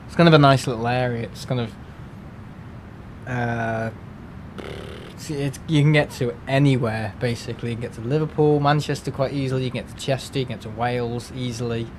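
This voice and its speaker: A man's voice: mid-range voice, fairly even intonation, fairly quick, noisy background. Chatty man with a Merseyside accent. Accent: Merseyside English. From England. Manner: rambling and chatty, many fillers and restarts. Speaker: livestream host. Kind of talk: casual chat with live viewers.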